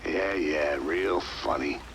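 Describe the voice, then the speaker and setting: An actor playing a blunt, wry federal investigator in a video game. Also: male voice, a bass voice, takes it slowly, creaky, a bit bright, a little hiss or hum, full character voice. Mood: wry, concerned. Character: gruff, sardonic male federal investigator, protective partner. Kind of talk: cinematic video game acting.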